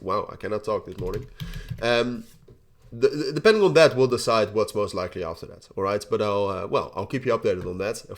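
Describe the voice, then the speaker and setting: A young man talks through a casual market update in a lowish, nasal voice, his nose audibly stuffed up. He rambles, restarts his sentences and keeps tagging them with "all right?". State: blocked nose. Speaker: crypto channel host.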